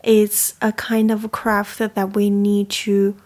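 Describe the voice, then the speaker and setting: A woman's voice: mid-range voice, monotone, slow pace, darkish tone. A young woman from China, talking casually and thoughtfully as the host of a coffee chat. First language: Chinese. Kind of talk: casual reflective talk.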